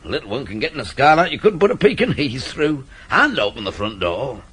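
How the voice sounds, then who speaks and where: Swooping, wily British small-time crook. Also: male voice, medium pitch, big pitch swings, a little fast, a bit bright, slightly rough, full character voice. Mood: boastful, confiding. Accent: British working-class. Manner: chatty, wheedling, repeats himself. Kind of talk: 1980s British radio drama acting.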